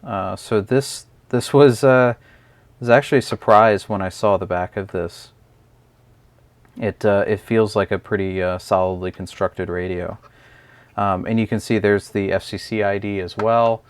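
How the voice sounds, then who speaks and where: A man's voice: lowish voice, fairly even intonation, unhurried, dry recording. A man casually explaining a product in an unscripted, hesitant review, talking through it step by step as the host.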